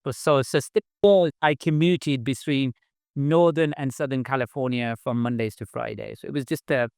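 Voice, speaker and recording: A man's voice: mid-range voice, steady tone, moderate pace, creaky, a little mellow, smooth, no echo, studio quality. A middle-aged man from England with a Birmingham accent, chatting casually as a podcast guest, tagging his sentences with "right?". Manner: chatty, frequent "right?" tags and light laughs. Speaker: startup founder, guest.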